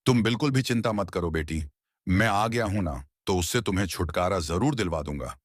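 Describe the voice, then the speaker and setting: Village tantric, voiced by a man: deep, solemn, sure of himself, declaring in a horror tale. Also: animated, fairly quick, no room sound, clean studio sound. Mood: reassuring. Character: self-assured village tantric holy man. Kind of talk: dramatized story acting.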